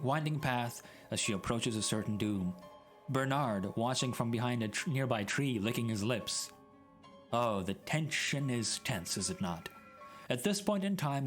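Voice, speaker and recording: A man giving a dramatic, mock-serious reading of a comic story, addressing the listener directly. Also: medium pitch. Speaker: storyteller, streamer reading aloud. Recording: music added behind the voice. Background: music and sound effects.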